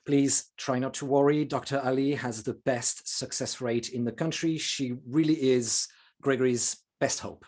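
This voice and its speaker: A woman acting a calm, reassuring emergency medical worker in a table read. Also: very deep voice, moderate pace.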